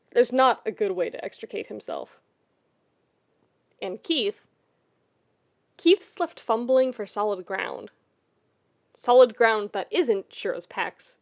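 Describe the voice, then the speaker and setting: A woman reading a scripted story as a podfic narrator, with expressive, intimate storytelling. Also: mid-range voice.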